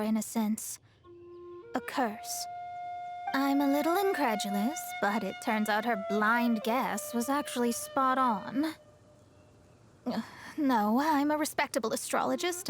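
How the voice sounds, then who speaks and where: A woman voicing a proud, self-assured young female astrologist in a video game, articulate and a little haughty. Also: sits high. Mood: resolute. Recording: faint music behind the voice.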